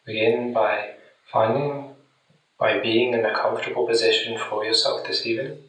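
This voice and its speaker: A man guiding a meditation in a soothing, deliberate manner with long pauses, speaking gently to the listener. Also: mid-range voice, level tone. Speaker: meditation guide. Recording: very echoey room, distant mic.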